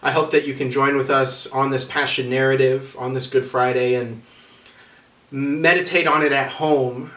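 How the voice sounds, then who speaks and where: A man speaking as a church host, giving a semi-informal, measured introduction to a reading, pausing mid-phrase. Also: mid-range voice, fairly even intonation, fairly bright tone. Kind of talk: spoken welcome, semi-informal address. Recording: echoey room, distant mic.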